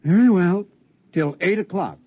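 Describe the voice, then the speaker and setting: A man's voice: sits high, moderate pace, natural voice. An actor playing a stern, skeptical suburban father in a 1950s radio drama, wry and firm. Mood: grumbling. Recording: dull vintage 1950s radio recording.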